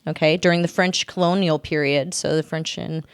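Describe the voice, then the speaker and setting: Clear-voiced woman hosting a casual podcast. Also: deep voice, steady tone, normal speed, no room sound. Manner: informal, joking. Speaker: podcast host. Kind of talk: casual chat with storytelling from notes.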